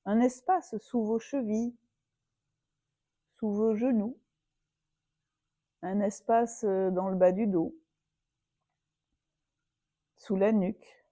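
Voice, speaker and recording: Female yoga teacher for guided relaxation: very dark, soft-edged tone, dry close sound, soothing and unhurried. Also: mid-range voice, fairly even intonation, no room sound. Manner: soothing, unhurried, with long pauses.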